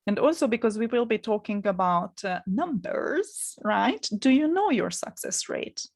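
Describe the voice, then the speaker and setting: A middle-aged woman from Hungary speaks English with a Hungarian accent, and her voice carries a gravelly fry. She coaches in an upbeat, encouraging way, restarting phrases and filling gaps with "uh". Her pitch sits in the ordinary middle range for a woman. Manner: energetic, encouraging, repeats words and fills pauses with uh. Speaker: marketing coach. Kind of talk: motivational webinar talk.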